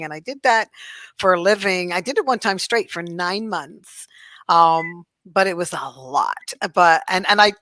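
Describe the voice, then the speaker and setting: A woman's voice: lowish voice, fairly bright tone, dry recording. A middle-aged woman from Barbados, raised in Toronto, talking as a guest about her trainings and singing, chatty, laughing often and restarting her sentences. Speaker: guest, cultural sensitivity trainer and singer. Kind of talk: casual interview chat.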